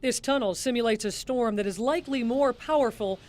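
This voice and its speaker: A woman's voice: a little high, a little fast, a little mellow. A middle-aged woman, a TV news reporter, delivering scripted news narration.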